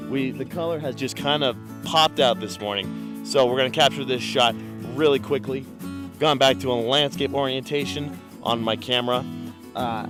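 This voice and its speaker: A man photographing a calm mountain lake at dawn, explaining his camera settings in a relaxed way with many fillers while sounding congested from a cold. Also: medium pitch. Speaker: landscape photographer. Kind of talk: casual on-location vlog.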